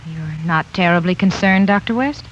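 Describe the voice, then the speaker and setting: An actress playing a chatty, deferential young nurse in a 1940s radio drama. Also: female voice, lowish voice, normal speed, a little hiss or hum, lightly acted. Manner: deferential, gossipy. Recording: muffled 1940s radio broadcast.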